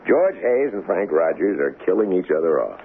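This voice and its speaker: On a dull, hissy old radio recording, a cynical political kingmaker talks in a very low, gravelly male voice. He is glib and wry, pushing his pitch with big swoops of intonation. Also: big pitch swings, normal speed. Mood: blunt, urging. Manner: glib, wheedling salesman's patter, wry. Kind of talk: old-time radio drama acting. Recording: muffled, noisy old radio broadcast.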